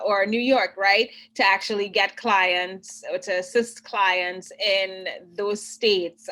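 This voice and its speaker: A woman from Trinidad with a bright, forceful voice answers interview questions as an immigration attorney. She explains step by step and keeps checking that the listener is following. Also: medium pitch. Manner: clear, explanatory, often pauses to check understanding.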